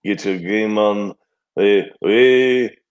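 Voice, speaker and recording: A man's voice: lowish voice, drawn-out words, highs cut off. A man playing a goofy, boastful Russian-born man who mangles a fake Scottish accent in an improv comedy scene.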